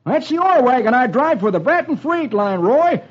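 A man voicing a frantic, excitable wagon driver with folksy Western talk in a radio story for children. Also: very high-pitched, normal speed, breathy edge, voice pushed up, heavily acted. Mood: agitated. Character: frantic, hollering old-West ore wagon driver. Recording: dull, noisy old radio recording.

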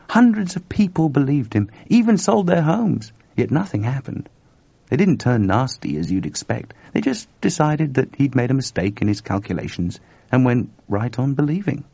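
A man's voice: mid-range voice, creaky, dark tone, slightly rough, no room sound, pitched above the performer's own voice, exaggerated voice. A man voicing a weary, skeptical male engineer in a story reading, recorded dull and dry.

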